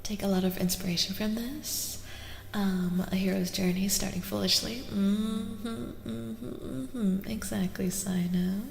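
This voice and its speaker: A woman giving a soft-spoken ASMR tarot reading as a stream host, breathy and often whispery, gently repeating phrases in a soothing way. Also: mid-range voice, fairly even intonation, unhurried. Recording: long echoing reverb.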